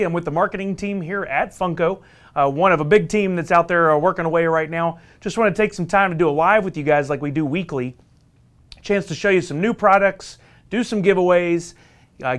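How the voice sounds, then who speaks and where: A man with a Southern US accent hosting a casual company livestream, upbeat and joking with his viewers. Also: high-pitched. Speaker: livestream host from a marketing team. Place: company headquarters studio.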